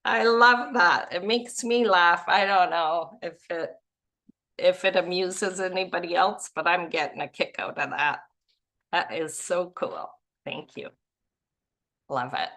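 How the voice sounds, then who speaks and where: A woman from Canada leading a spiritual study group, reading passages aloud and musing on them gently. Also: fairly low pitch, steady tone, unhurried. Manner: gentle, musing, softly laughing at herself.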